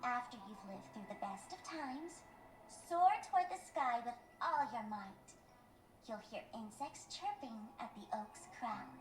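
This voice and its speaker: A woman's voice: fairly high pitch, expressive, unhurried. A woman playing a mischievous, teasing young masked trickster in an anime game. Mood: teasing, dramatic. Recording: game audio with music, roomy echo.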